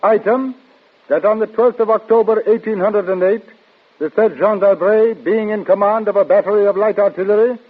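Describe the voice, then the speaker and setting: An actor playing an accusatory clerk of the Spanish Inquisition in an old radio drama, formal and declamatory. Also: male voice, high-pitched, slightly rough, phone-quality sound. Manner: formal, declamatory reading of charges. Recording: thin, band-limited old radio recording.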